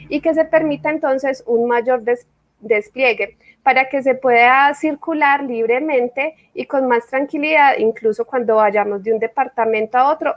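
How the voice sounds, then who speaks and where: A woman panelist giving a measured, orderly explanation on a panel. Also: on the high side, dull audio. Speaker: panelist, electric mobility specialist.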